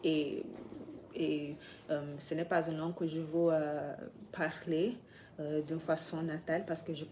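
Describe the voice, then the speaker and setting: Low-voiced woman speaking french as a learner, slow, lots of ums, noise in the background. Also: level tone, warm tone. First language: not French. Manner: hesitant, many pauses and fillers, searching for words. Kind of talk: unscripted vlog about learning French.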